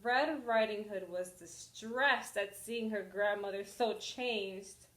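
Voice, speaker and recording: A woman reading a children's story aloud as an expressive storyteller. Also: mid-range voice, takes it slowly, roomy sound.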